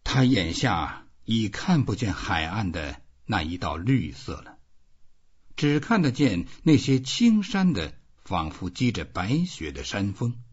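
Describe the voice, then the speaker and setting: A man reading a novel aloud as scripted literary narration, in an expressive storytelling manner. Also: fairly low pitch, a little slow, husky, lo-fi sound. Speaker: audiobook narrator.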